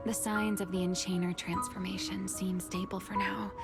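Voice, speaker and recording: A woman playing a weary, secretive, century-old but young-looking game character, speaking slowly in a hushed, halting way. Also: mid-range voice, little pitch movement, close to an everyday voice. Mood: tender, tired. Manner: slow, hushed, breathy, halting delivery. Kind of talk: dramatic game cutscene voice acting. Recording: dramatic music behind.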